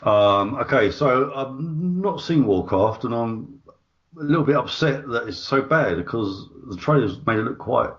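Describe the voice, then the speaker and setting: Male co-host, mid-pitched, enthusiastic and rambling, dull lo-fi podcast sound. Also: lively intonation, unhurried. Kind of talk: casual podcast chat.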